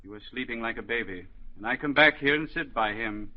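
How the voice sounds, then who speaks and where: A man's voice: medium pitch, fairly quick, lightly acted. An actor playing a shrewd, scolding elderly psychiatry professor in a 1940s radio drama, with imperious, fatherly delivery. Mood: grave, explaining. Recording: dull, lo-fi 1940s radio broadcast.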